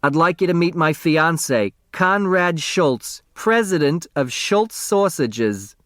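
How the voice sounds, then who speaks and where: A man's voice: fairly high pitch, lively intonation, slow pace, a bit bright, dry recording, clean studio sound, pitched above the performer's own voice. A man voicing a gracious, proud woman with polite manners in a storybook. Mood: polite pride.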